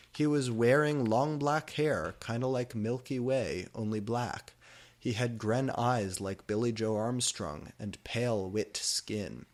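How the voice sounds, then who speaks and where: A man giving a deliberate, theatrical dramatic reading of fan fiction. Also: medium pitch.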